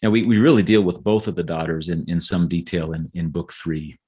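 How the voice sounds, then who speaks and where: A man's voice: deep voice, fairly even intonation, a little fast, warm tone, breathy edge. A man talking as an author in a conversational podcast interview, earnest and explanatory, often restarting his phrases.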